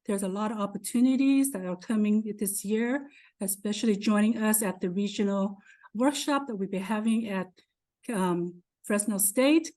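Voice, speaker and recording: A woman's voice: medium pitch, moderate pace, warm tone. A woman serving as an association president, giving an effusive welcome on a video call with many fillers. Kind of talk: welcome address.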